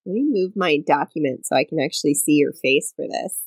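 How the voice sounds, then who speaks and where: A woman co-hosting a comedy podcast in casual chat, playful and teasing, quick to laugh. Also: deep voice, husky, no echo. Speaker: podcast co-host.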